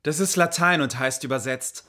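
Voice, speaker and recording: A man's voice: fairly high pitch, normal speed, sharp tone. An actor playing an articulate, well-read man in a radio play, explaining things in a colloquial, good-natured way. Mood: calm, explanatory. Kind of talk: radio play narration and dialogue.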